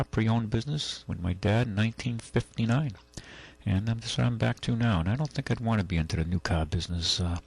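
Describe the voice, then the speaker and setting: Gravelly older male radio host. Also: deep voice. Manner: rambling, folksy, many restarts. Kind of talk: call-in radio talk. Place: radio studio.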